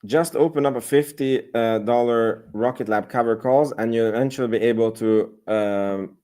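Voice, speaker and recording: A man's voice: medium pitch, normal speed, mellow. A man from Hungary, hosting a casual livestream and talking loosely with many restarts. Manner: casual, rambling with frequent restarts. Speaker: host.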